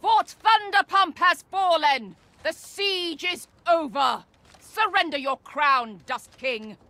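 High, forceful woman warlord. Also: animated, takes it slowly, no room sound, full character voice. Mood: commanding. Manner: declamatory, forceful. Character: fiery, combative female fantasy role-player warlord.

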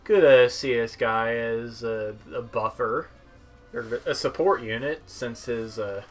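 A man's voice: medium pitch, slow. Young man casually commentating over game music. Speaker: gamer demoing video game characters. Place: indoor room at home, seated. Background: video game music.

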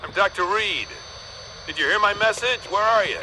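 An actor playing an anxious, eager government doctor in a video game, urgent and halting. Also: male voice, high voice, pushed and bright, slight background noise, poor audio. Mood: nervous, hopeful. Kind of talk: video game cutscene acting.

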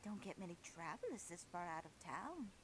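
A woman voicing a gentle, concerned bear villager in an animated video game. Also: lowish voice, normal speed. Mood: friendly. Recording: busy game sounds behind.

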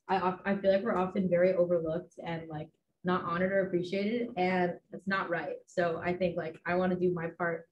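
A woman's voice: fairly low pitch, a little hiss or hum. A young woman from the Los Angeles area hosting a casual panel, chatty with lots of fillers and easy laughs. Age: young adult. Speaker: panel host and student staffer. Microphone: video call. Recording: dull video call audio.

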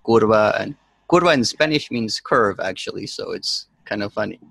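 Gravelly, low-voiced young man hosting a podcast. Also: moderate pace, no echo. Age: young adult. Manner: joking, animated, laughs often. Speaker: podcast host. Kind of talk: casual chat. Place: small room indoors.

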